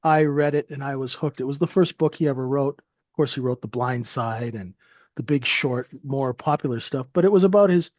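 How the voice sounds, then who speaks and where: A man's voice: mid-range voice, moderate pace, no room sound, muffled recording. A man in his fifties from Canada, telling his life story in a rambling, friendly way with lots of fillers. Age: 50s. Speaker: guest trader. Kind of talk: casual interview storytelling.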